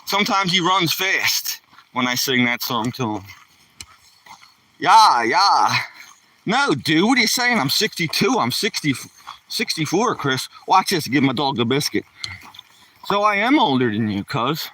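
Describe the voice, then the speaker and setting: Folksy 60s male streamer, slangy rambler, bright tense voice on a phone mic that drifts off now and then. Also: fairly high pitch, big pitch swings, measured pace, pauses often, recorded from a distance. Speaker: live streamer. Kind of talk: casual live-stream chat while walking his dog. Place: outdoors on a neighborhood walk. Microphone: handheld phone.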